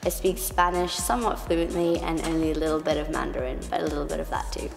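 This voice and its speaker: A woman's voice: low voice, fairly even intonation, fast talker, creaky, faint music behind. Young woman, reverberant room. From Hong Kong. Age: young adult. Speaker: first-year student. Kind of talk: documentary interview.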